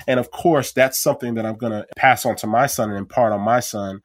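A man's voice: medium pitch, fairly even intonation, a little fast, no room sound, clean studio sound. A man reflecting in a heartfelt way on memories of his late father. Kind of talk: personal reflection.

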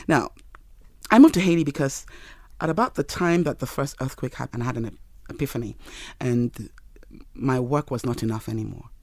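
A man, a Yoruba speaker, telling his life story in an interview in an earnest, measured way. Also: medium pitch, dry recording, clean studio sound. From Nigeria. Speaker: interview guest.

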